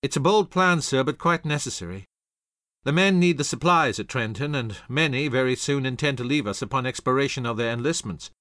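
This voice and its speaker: A man voicing a confident young male artillery captain who speaks up loudly. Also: mid-range voice, lively intonation, a little fast, no room sound, clean studio sound, voice pushed up, lightly acted. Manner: loud, assured.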